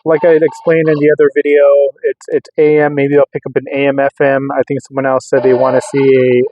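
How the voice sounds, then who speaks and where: Guy vlogging a gadget test, thin phone-like audio, monotone, halting and repeating himself, casual. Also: medium pitch, noisy background. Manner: hesitant, halting, repeats words. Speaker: radio hobbyist vlogger. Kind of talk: casual rambling product test commentary. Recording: narrow, phone-like, lo-fi sound.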